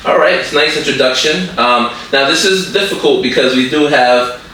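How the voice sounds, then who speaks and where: Man, podcast host, chatty, joking, bright, echoey room, far from mic. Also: on the high side, normal speed. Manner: chatty, joking, lots of fillers. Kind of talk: casual podcast chat. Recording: echoey room, distant mic, faint noise.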